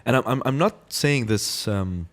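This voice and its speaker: A man's voice: medium pitch, lively intonation, normal speed. A man hosting a podcast, asking friendly follow-up questions and encouraging his guests in casual chat. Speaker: podcast host.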